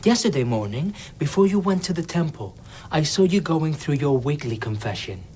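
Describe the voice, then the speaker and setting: A man's voice: on the high side, big pitch swings, moderate pace, mellow. An actor playing an enslaved young foreign man in an audio drama, defiant and questioning. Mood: challenging. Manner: challenging, pointed. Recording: clear background noise.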